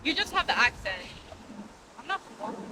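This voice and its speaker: A woman's voice: on the high side, sing-song, a little fast. A woman playing a skeptical, easily exasperated young woman on a date in a comedy sketch. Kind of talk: acted comedy sketch dialogue. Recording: noisy outdoor location sound.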